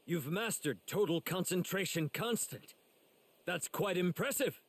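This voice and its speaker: A man's voice: high voice, lively intonation, measured pace, exaggerated voice. A man voicing a confident, encouraging young warrior mentor in an anime game, emphatic and commanding.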